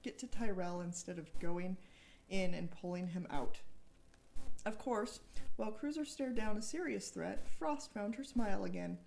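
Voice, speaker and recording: A woman's voice: medium pitch, normal speed, creaky, a little mellow. A woman giving a dramatic, informal reading of a thriller novel as one of its authors. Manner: informal, breaks off to joke and correct slips. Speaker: co-author reading from own book.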